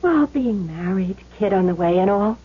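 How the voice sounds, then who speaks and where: A muffled old radio drama recording of a tender, practical young wife expecting a child. Her voice is husky and affectionate, gently questioning. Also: mid-range voice, animated, moderate pace. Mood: tender, unsure. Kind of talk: 1970s radio drama acting.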